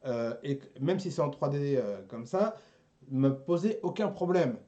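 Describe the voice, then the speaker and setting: A man hosting a casual anime talk show, chatting with co-hosts in a halting, repetitive, teasing way. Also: mid-range voice, animated, mellow, lo-fi sound. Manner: halting, repeats words, teasing banter. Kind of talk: casual chat among co-hosts.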